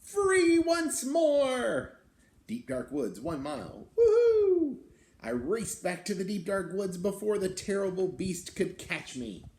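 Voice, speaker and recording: A man's voice: high-pitched, huge pitch swings, takes it slowly, darkish tone, pitched above the performer's own voice, exaggerated voice. A man voicing an indignant little wild beast from a picture book, telling its tale in a theatrical, aggrieved way. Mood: defiant, gleeful. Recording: roomy echo, some background noise.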